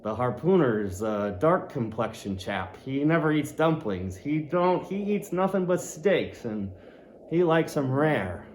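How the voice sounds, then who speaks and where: A man's voice: mid-range voice, sing-song, a little slow, a little hiss or hum, voice pushed up. A man voicing a crafty, teasing innkeeper who speaks in an old-timey sailor-town dialect. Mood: sly. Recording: roomy echo.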